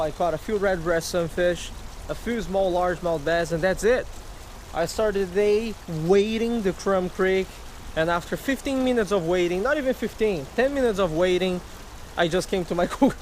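High-voiced guy in his 30s vlogging at a creek, gets all excited over every little fish, faint water noise outside. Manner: excitable, exclaims over each catch, addresses viewers as folks. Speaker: fishing vlogger. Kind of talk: casual vlog narration to camera. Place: outdoors by a wooded creek. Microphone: camera mic, handheld or chest-mounted.

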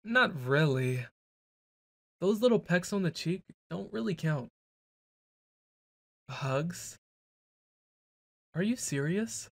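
A man's voice: high voice, expressive, slow, creaky, pauses often, fairly bright tone, dry recording, clean studio sound. A young man performing a boyfriend ASMR roleplay, brash and teasing, stumbling into flustered stammers.

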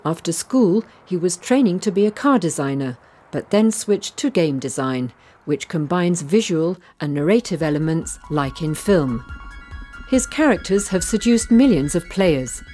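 Female news narrator, low and mellow, steady scripted read, soft music under. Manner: measured, even reading.

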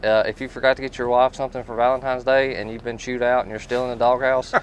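A man's voice: medium pitch, fast, low-quality recording. A man talking casually and folksily as a tool dealer showing products. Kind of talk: casual product talk and storytelling. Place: inside a tool truck. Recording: steady background noise.

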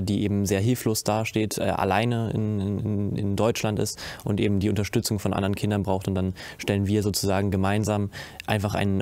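A man's voice: fairly low pitch, creaky, darkish tone, no room sound. A teenage boy on a stage panel, speaking earnestly in long run-on sentences full of hesitations. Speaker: young cast member. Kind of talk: panel discussion answer. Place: stage with curtain, indoors.

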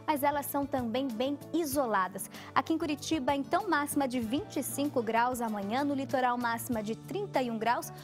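A young woman presenting a weather forecast on a TV newscast in a fluent, lively manner. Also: high voice, brisk, hardly any pauses, soft background music. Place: TV studio in front of a weather graphic.

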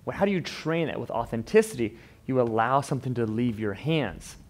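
A man's voice: mid-range voice, lively intonation, a little fast. Enthusiastic man teaching in a gym. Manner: enthusiastic, emphatic, repeats words when restarting. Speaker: fitness educator. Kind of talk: unscripted equipment walkthrough to camera. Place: indoor gym studio with turf floor.

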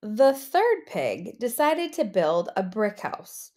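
Slow, expressive woman reading a storybook. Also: mid-range voice. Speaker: storybook reader, teacher. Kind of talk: expressive children's read-aloud narration.

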